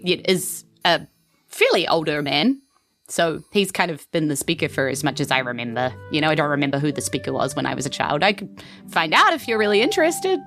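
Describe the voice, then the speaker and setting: A woman's voice: deep voice, very animated, fairly quick, a bit bright, faint music behind, heavily acted. A woman voicing a polite, hesitant, earnest townsman in a tabletop roleplaying game.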